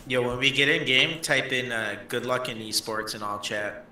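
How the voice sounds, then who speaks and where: A man's voice: mid-range voice, steady tone, moderate pace, slight background noise. A man chatting casually and swearing as a teammate during an online game. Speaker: gaming teammate. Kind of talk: casual gaming chat. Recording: echoey voice chat, loud music. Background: loud music, game.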